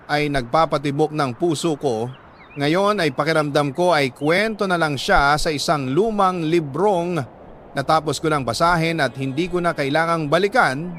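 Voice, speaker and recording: A man with a Filipino accent voicing a heartbroken, devout young woman's letter as earnest, dramatic radio storytelling with deliberate pauses. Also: a little high, fairly bright tone, a little hiss or hum. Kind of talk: dramatic first-person scripted narration.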